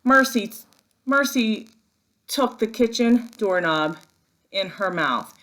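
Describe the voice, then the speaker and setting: A woman working as a first-grade teacher, giving an expressive, instructive read-aloud lesson for young children. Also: a little high, slow.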